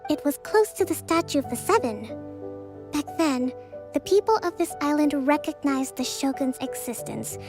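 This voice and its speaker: A woman playing a calm, dutiful young priestess leader in a video game, speaking with formal, measured diplomacy. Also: sits high, lightly acted. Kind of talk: scripted video game dialogue.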